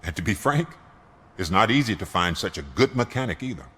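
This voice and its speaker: A man's voice: lowish voice, very animated, fairly quick, pushed and bright, breathy edge, long reverb tail, exaggerated voice. A man playing a protective, world-weary shop owner in a video game, earnest and plain-spoken. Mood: earnest, defensive.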